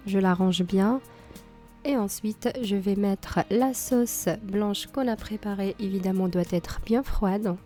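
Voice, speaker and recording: A woman with a slightly hoarse voice from a cold talks through a recipe in a calm, mellow tone. She explains each step plainly, with the odd small filler. Soft music plays behind her. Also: medium pitch, slow. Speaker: cooking channel host. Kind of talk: cooking tutorial voice-over.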